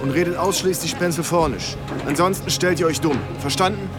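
A man's voice: on the high side, a little fast, lightly acted. A man acting as a young, dutiful, uneasy US army lieutenant who narrates a war radio play in German. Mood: earnest. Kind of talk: radio drama narration and dialogue. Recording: music under the voice. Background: dramatic music.

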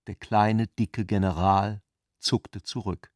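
A man's voice: fairly low pitch, fairly even intonation, slow, a little mellow, no room sound, studio quality. A man reading scripted literary narration for a radio drama, acting out bits of dialogue.

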